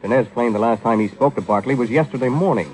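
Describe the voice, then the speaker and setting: An American actor playing a cool, sardonic, teasing private detective in a vintage 1940s radio drama. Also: male voice, medium pitch, fast, darkish tone, natural voice, poor audio. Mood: explaining. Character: wisecracking, cool-headed male private detective, flirtatious with women.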